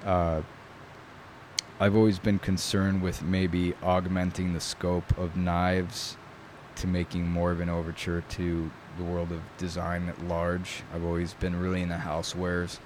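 A man's voice: deep voice, completely flat delivery, measured pace, noisy background. A man from New York who grew up speaking Italian first, a guest talking casually in an interview, thoughtful, with many fillers. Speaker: guest, knife maker.

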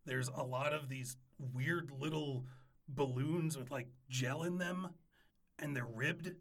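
A man's voice: mid-range voice, slow. A man playing a nervous, pleading ex-boyfriend who stammers and repeats himself in a comic audio drama. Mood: anxious rambling.

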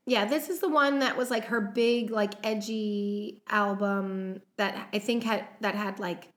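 A woman's voice: medium pitch, steady tone. A woman co-hosting a film podcast, chatting casually through cast notes with plenty of "um" fillers. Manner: unhurried, many "um" fillers, running through notes. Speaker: podcast co-host. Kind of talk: casual chat about a film's cast.

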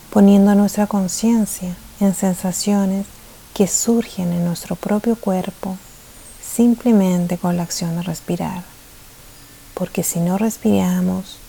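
A woman leading a guided meditation, soft-spoken and soothing, with gentle pauses and a tendency to drift toward a breathy near-whisper. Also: lowish voice, rough recording. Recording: faint hiss behind the voice.

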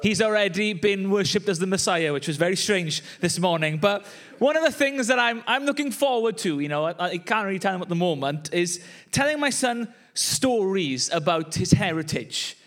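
A man's voice: high-pitched, expressive, normal speed, fairly bright tone. A young Welsh man, a pastor, preaching a conversational sermon with joking asides. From Wales. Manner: chatty, joking asides with laughs.

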